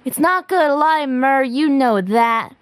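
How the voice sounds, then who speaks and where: Woman as prim, innocent little girl for superhero radio play, high-pitched, earnest. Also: animated, a little slow, no echo. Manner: naive, earnest.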